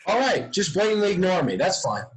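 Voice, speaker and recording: A man's voice: sits high, sing-song, fairly quick, a little hiss or hum. A man playing a serious, long-suffering grandson with a dry, exasperated manner at a script reading. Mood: dry, annoyed. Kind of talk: script table read.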